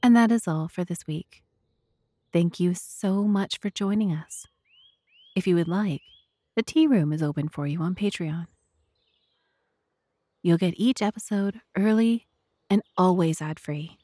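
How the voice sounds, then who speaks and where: A woman's voice: on the low side, measured pace, pauses often, dark tone, no echo, studio quality. A woman narrating in a scripted, audiobook style as the host of an audio drama.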